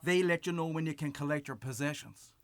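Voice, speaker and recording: An actor playing a cold, officious eviction bailiff who speaks in formal legalese in a radio play. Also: male voice, a little high, a little fast, dry recording, lightly acted. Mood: officious, firm.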